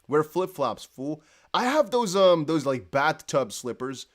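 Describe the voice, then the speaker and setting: Chatty Dutch-native man with lively pitch. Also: unhurried. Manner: rambling, self-correcting, chatty. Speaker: crypto livestream host. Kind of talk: casual livestream chat, reading viewer comments.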